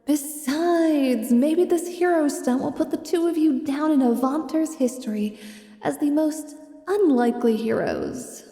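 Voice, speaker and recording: High, mellow woman narrator with echo. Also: unhurried. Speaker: narrator, game master. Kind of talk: scripted second-person fantasy narration.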